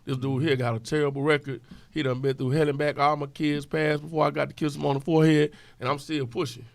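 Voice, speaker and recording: A man's voice: fairly high pitch, level tone, fast talker, creaky, dry recording. A man in his forties from with a drawl, talking earnestly and emphatically as a podcast guest in casual street slang. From Houston, Texas. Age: 40s. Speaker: podcast guest, club owner. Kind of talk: casual conversation.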